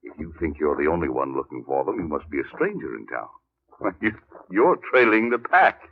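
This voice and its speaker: Menacing old gangster boss, super low husky voice that swings around, tinny vintage radio recording. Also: male voice, very animated, moderate pace, heavily acted. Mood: stern, threatening. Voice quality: gravelly, husky. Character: aging male crime boss, tired, wily and menacing. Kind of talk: 1940s-50s radio acting. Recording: muffled, narrow-band old radio recording.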